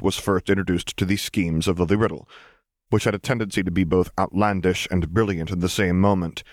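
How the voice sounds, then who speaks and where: A man's voice: deep voice, dry recording, studio quality. A man reading a scripted story aloud as an audiobook narrator.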